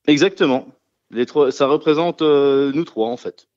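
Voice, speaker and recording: A man's voice: a little high, measured pace, a little mellow, dry recording, highs cut off. A man who sings and plays guitar in a rock trio, answering radio interview questions in a casual, hesitant way with many fillers and restarts. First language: French.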